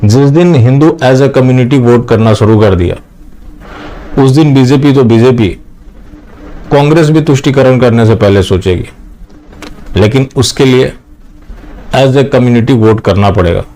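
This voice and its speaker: A man's voice: mid-range voice, unhurried, long pauses, warm tone, poor audio. A man hosting a political commentary channel, speaking straight to his viewers in an opinionated, critical way.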